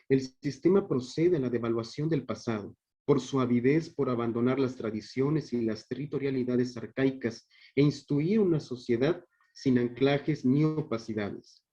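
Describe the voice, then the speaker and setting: A man's voice: medium pitch, dark tone. A man with a northern Mexican accent reading an academic paper aloud in a formal, literary manner, as a conference speaker. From Monterrey, Mexico. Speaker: conference speaker, theatre director. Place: video call. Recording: dull online call audio.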